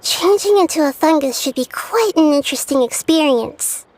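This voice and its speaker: A woman voicing a gentle young girl goddess of nature in a video game, who speaks in a calm, thoughtful and earnest way. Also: high voice, moderate pace, a little hiss or hum. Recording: game soundtrack playing loudly behind. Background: game soundtrack music.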